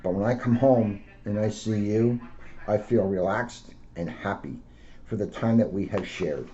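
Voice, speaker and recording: Mellow-voiced man, dull lo-fi recording. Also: on the low side, a little slow, a little hiss or hum. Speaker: police officer reading a picture book to children. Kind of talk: read-aloud storytelling with casual asides. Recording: dull lo-fi sound.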